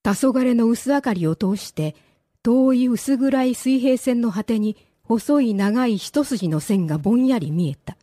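A woman's voice: fairly high pitch, expressive, measured pace, warm tone, dry recording, studio quality. A woman narrating a radio drama as a scripted, dramatic story.

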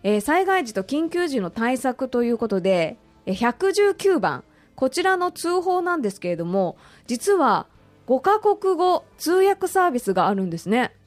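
Woman, radio co-host. Fairly high voice, polite and agreeable summarising, light music behind. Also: sing-song, normal speed, no room sound. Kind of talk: conversational radio talk.

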